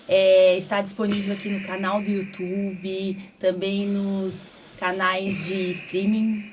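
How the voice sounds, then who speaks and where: A woman hosts a podcast in an informal, conversational style. Her voice sits at a middle pitch, and she often tags her sentences to seek agreement. A light layer of noise sits behind her. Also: level tone, slow, rough recording. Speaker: podcast host, doctor. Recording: clearly audible background room noise.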